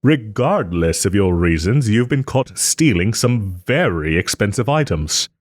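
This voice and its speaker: A man playing a haughty but tender wronged nobleman in a romantic audio roleplay, speaking theatrically with formal, old-fashioned phrasing and hesitant pauses. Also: on the low side, very animated, dry recording, studio quality. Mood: measured, reluctant. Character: wronged young nobleman, haughty, wounded, still tenderly caring.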